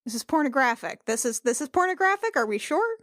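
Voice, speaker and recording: High, dry-miked woman, playfully baffled. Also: very animated, fast, fairly bright tone, dry recording. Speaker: book club podcast performer. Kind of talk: improvised comedy banter.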